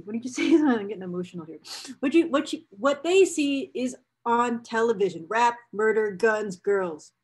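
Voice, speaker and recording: Slow-paced, higher-voiced woman reading aloud emphatically. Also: breathy edge. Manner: emphatic, repeats phrases for effect; enthusiastic, emotionally invested. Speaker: presenter reading a book excerpt aloud. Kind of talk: book reading with casual asides to viewers.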